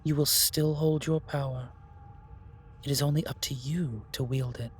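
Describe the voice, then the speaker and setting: Casting: male actor for grave guardian of magic, audio drama; stately, formal, mid-pitched, light background ambience. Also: fairly even intonation, a little hiss or hum, lightly acted. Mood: calm, wise. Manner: formal, stately, deliberate pronouncements. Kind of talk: fantasy audio roleplay acting.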